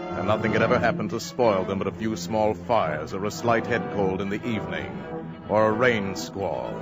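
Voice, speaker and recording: A man narrating a 1950s radio drama in a measured, dramatic storytelling voice. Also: fairly low pitch. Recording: old radio broadcast with music.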